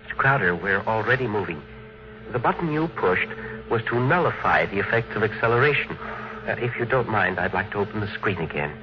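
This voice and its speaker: An actor playing a puny, polite but stubborn engineer who is secretly a Martian, in 1950s radio drama style. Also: male voice, lowish voice, expressive, fairly quick, close to an everyday voice. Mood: calm. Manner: polite, hesitant, quietly firm. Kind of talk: 1950s American radio acting. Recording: dull, noisy vintage radio recording.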